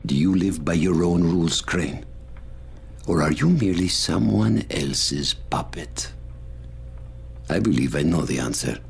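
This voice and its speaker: A menacing crime boss for a video game, with a deep voice. He speaks deliberately and pompously, in cold control, over faint background ambience. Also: slight background noise. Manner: pompous, deliberate, measured phrasing. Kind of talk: video game cutscene acting.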